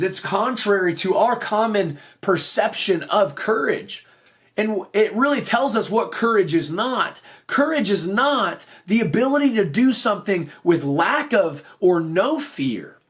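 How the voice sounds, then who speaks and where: A man's voice: sits high, fairly bright tone. A man hosting a motivational podcast, speaking in an earnest, explanatory way, his voice slightly off after recently losing it. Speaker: podcast host and teacher.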